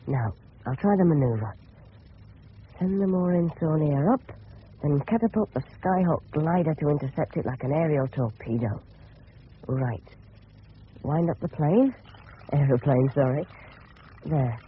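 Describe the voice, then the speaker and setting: Tinny radio voice of an eager English schoolboy. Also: male voice, on the high side. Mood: self-satisfied, eager. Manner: clipped, fragmentary, boyish slang. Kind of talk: 1970s radio drama inner monologue. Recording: tinny, faintly noisy old radio broadcast.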